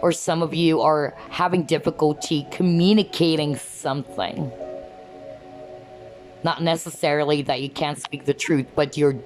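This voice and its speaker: A woman giving an earnest tarot card reading, often asking "okay?" as she talks, and her voice is going hoarse. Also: low-pitched, fairly even intonation, unhurried, darkish tone, soft background music. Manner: earnest, repeats "okay?" to viewers. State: losing her voice. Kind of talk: pick-a-card reading to camera.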